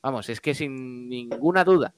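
A man hosts a relaxed live radio show. His voice is clear and smooth, recorded dry and close. He reads listener comments aloud and banters colloquially. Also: medium pitch, normal speed, dry recording. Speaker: radio show co-host reading listener messages. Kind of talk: casual live chat, reading out comments.

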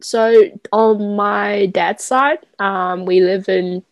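Animated New woman, dry sound. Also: mid-range voice, drawn-out words, no room sound. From Taiwan, raised in New Zealand from age seven. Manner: animated storyteller, mock-dramatic. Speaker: guest. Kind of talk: casual chat with a friend.

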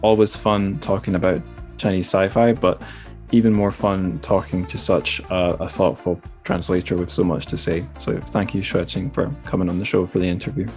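A man's voice: deep voice, fairly even intonation, normal speed, a little mellow, soft background music. A man hosting a podcast, chatting informally and rambling a little in a conversational way. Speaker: podcast host. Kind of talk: casual podcast chat.

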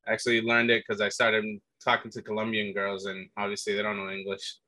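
A man's voice: lowish voice, completely flat delivery, brisk, sharp tone, dry recording, poor audio. A man telling stories from his youth in a loose, rambling way, full of fillers and restarts. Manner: rambling, many fillers and restarts, says "dude". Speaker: guest telling his life story. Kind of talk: casual conversation.

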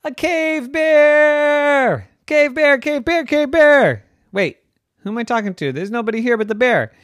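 A performer voicing an excitable high-pitched little girl adventurer. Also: fast talker, no echo, studio quality, close to an everyday voice. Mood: frightened. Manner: exclamatory, wide-eyed. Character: excitable, curious young girl adventurer, easily startled.

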